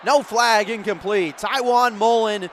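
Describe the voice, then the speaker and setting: Guy doing radio play-by-play, high voice, measured then urgent, faint outdoor stadium noise behind him. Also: a bit bright. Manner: swings from measured setup to urgent calls. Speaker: play-by-play sports announcer. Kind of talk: live radio play-by-play commentary. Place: outdoor stadium broadcast position.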